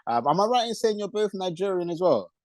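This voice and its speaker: A man's voice: high-pitched, very animated, quick pace, no room sound, studio quality. A man with a London accent interviewing guests in an informal, slangy way as a radio show host. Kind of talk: casual interview chat.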